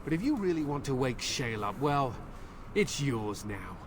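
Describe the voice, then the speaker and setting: A man's voice: medium pitch, big pitch swings, normal speed, background noise, natural voice. An actor playing an anxious, bitter middle-aged village man pleading earnestly for his daughter in a video game. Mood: bitter, grieving. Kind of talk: video game dialogue acting.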